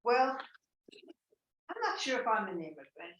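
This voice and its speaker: A woman telling a personal story to a group over a video call, in a deliberate, wry manner. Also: on the high side, sing-song, a bit bright, highs cut off, a little hiss or hum. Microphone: computer mic on a video call. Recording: roomy and distant from the mic.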